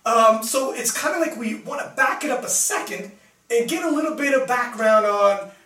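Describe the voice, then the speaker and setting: A man's voice: extremely high pitch, animated, moderate pace, sharp tone, distant mic. A young man from Canada hosting a casual live interview show, chatty and laughing often, full of fillers. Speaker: host. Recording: roomy sound, mic set back.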